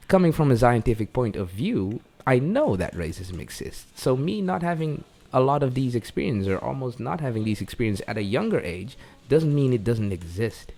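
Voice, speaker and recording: A man from Suriname who grew up in the Netherlands, talking casually as a podcast guest, haltingly and repeating words as he thinks. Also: mid-range voice, a little mellow. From born in Suriname, grew up in the Netherlands. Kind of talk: casual conversational interview.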